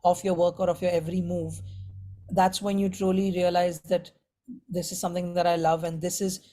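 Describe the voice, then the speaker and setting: A man's voice: high-pitched, flat delivery, normal speed, dark tone. A man giving conversational answers as a musician being interviewed. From India.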